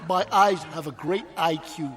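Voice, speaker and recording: A man's voice: a little high, moderate pace, over music, a crowd in the background, close to an everyday voice. A man voicing a cheeky, boyish ventriloquist's dummy trading corny, stammering wisecracks in a radio play. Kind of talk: vaudeville comedy patter in a radio play.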